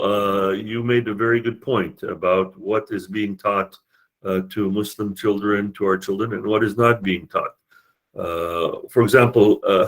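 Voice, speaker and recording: A man lecturing a university class, explaining with rhetorical questions and frequent hesitant fillers. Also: fairly low pitch.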